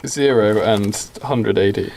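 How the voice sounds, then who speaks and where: A man's voice: lowish voice, takes it slowly, creaky. A man co-hosting a podcast, bantering casually and insistently. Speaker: podcast co-host. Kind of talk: casual bantering chat, brainstorming a comedy script. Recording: noisy amateur podcast recording with clicks.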